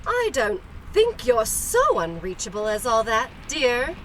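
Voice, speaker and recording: A woman's voice: fairly high pitch, wildly swooping pitch, unhurried, a bit bright, slight background noise, exaggerated voice, poor audio. A woman playing a practical, determined wife and mother in a comic radio play, pointed and chiding.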